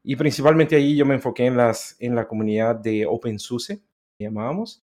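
A man's voice: mid-range voice, lively intonation, brisk, darkish tone. A man from America, a guest chatting in a podcast interview in a friendly, talkative way. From Central America. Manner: friendly, chatty, laughs easily. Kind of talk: casual interview chat.

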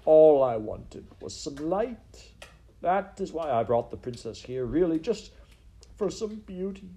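A man's voice: mid-range voice, normal speed, mellow, close to an everyday voice. A man voicing an anguished, self-pitying rat in a storybook, pleading melodramatically. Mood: despairing.

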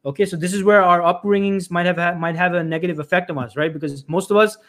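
Guy coaching job seekers on a live stream, high-ish voice, talks fast, keeps repeating points and saying folks. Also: steady tone, a bit bright. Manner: emphatic, repeats phrases, often says "folks" and "right?"; frequent restarts and filler "uh".

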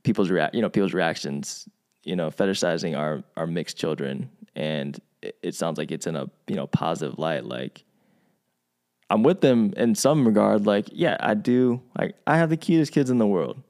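A man with a notably low, deep voice talks in a loose, unscripted way, circling back on his own phrases. He reflects aloud as a podcast co-host. He grew up in Virginia. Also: normal speed. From United States, grew up in Virginia. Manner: thoughtful, many restarts and asides, animated when quoting. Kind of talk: casual conversational chat.

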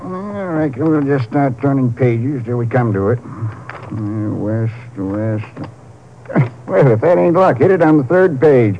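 A man's voice: medium pitch, a little slow, warm tone, close to an everyday voice. Folksy druggist played by a man, noisy recording. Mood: folksy, pleased. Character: folksy, chatty small-town Texas druggist. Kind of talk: 1950s radio drama acting. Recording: dull, noisy old radio recording.

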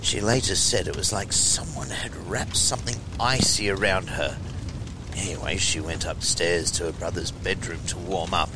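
A man hosting a horror show, reading tales and listener letters in a theatrical, deliberate way. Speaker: host and reader of a horror anthology show. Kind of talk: expressive storytelling and letter reading. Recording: low rumbling noise behind the voice.

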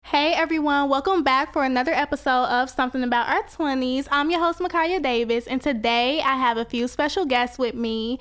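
High-voiced young woman podcast host. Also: brisk, hardly any pauses, fairly bright tone. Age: 20s. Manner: chatty, asks follow-up questions, uses y'all. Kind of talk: casual podcast conversation.

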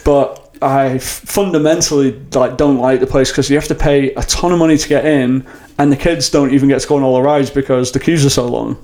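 Gravelly blunt man chatting. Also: medium pitch, fairly even intonation, fairly quick, few pauses, darkish tone. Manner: blunt, swears casually, laughs often. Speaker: podcast co-host. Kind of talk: casual chat.

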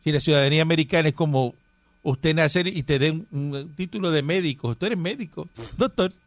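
A man's voice: on the high side, fairly quick, no echo. A man from Cuba hosting a casual radio talk show, rambling and emphatic with many fillers. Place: radio studio.

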